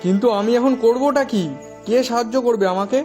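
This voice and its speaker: High-voiced despairing poor village man played by a man. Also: quick pace, a little mellow, faint music behind. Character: despairing, destitute low-status male villager.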